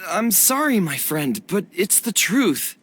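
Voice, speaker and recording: An anxious, candid townsman from a game cutscene. He sounds hesitant and apologetic, with lively rises and falls over faint background noise. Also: sits high, slow pace, exaggerated voice. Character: anxious, candid citizen afraid of losing protection.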